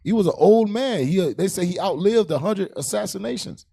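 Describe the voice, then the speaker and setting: A man's voice: high voice, lively intonation, fairly quick, gravelly, mellow, slightly rough. An American man in his thirties giving emphatic, repetitive religious commentary in colloquial speech on a panel, into a handheld mic. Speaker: panel host, religious teacher. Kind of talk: impassioned preaching and panel commentary. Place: indoor curtained studio set, panel table. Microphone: handheld microphone.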